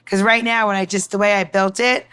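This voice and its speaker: Woman bartender talking thru a recipe step by step, chatty n informal, middle pitch. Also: little pitch movement, a little fast, bright, forceful tone, smooth. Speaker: mixologist demonstrating a recipe. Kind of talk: casual cooking-style demonstration.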